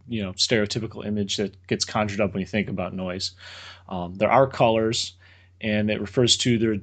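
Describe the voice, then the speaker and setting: A man's voice: on the low side, fairly bright tone. A man, a guest engineer on a podcast, explaining technical ideas casually with dry joking asides.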